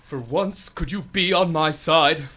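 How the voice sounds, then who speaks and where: A man in a student radio drama playing a pompous, clumsy male superhero who booms self-righteous lines and stammers when flustered. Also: unhurried, pushed and bright, a little hiss or hum. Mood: heroic.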